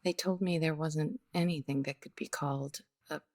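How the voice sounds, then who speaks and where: A woman playing a weary, guarded, bittersweet widow in the style of a 1950s radio detective drama, speaking in a measured, hesitant way. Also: deep voice, fairly even intonation, a little mellow, natural voice. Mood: subdued, resigned.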